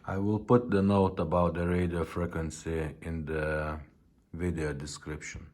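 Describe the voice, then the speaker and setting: A man with a deep voice presents a product in a casual way. He talks slowly and plainly, with some hesitation as he explains things. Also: level tone, a little mellow. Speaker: presenter testing vintage car radios.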